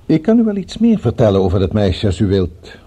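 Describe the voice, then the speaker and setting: An actor in a radio drama playing a courteous, cultured villain with a politely menacing, formal and musing manner. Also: male voice, on the low side, huge pitch swings, fairly quick, dark tone. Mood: polite, musing threat.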